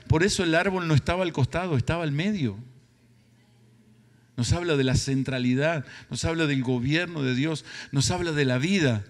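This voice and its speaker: Predicador argentino de voz aguda, en sala reverberante. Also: male voice, a little high. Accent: Argentine Spanish. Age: middle-aged or older. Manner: emphatic, repeats phrases for effect. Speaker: preacher. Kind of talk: sermon. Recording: reverberant hall sound.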